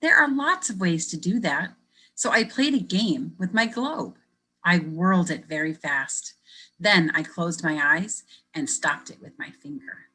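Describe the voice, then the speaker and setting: A woman reads a children's picture book aloud in a low, slightly husky voice with a bright edge. She reads expressively and gently, stopping to ask young listeners questions. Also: animated, unhurried, rough recording. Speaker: educator reading aloud to children. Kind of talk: children's read-aloud with teacher commentary.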